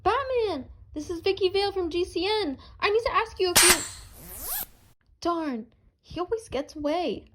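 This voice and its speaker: A woman's voice: very high voice, expressive, moderate pace, heavy background noise. A woman playing an eager, determined young reporter in an amateur superhero radio play. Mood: eager, frustrated.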